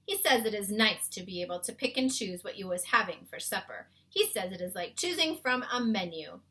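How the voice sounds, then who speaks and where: A woman's voice: medium pitch, animated, moderate pace, bright, forceful tone. A woman voicing a big, friendly male giant in a children's storybook, earnest and emphatic, with muddled homespun grammar. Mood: eager explaining. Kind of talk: children's storybook read-aloud.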